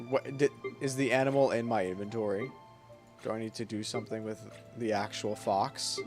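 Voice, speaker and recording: A man's voice: lowish voice, fairly even intonation, moderate pace. A man chatting casually on a gaming livestream in a playful, self-deprecating way. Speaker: game livestreamer. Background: game music.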